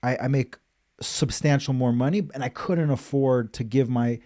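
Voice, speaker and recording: A man's voice: medium pitch, fairly even intonation, moderate pace, warm tone. A man in his thirties from Los Angeles, hosting a podcast and chatting candidly, swearing casually. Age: 30s. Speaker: podcast host, financial advisor. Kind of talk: casual interview chat.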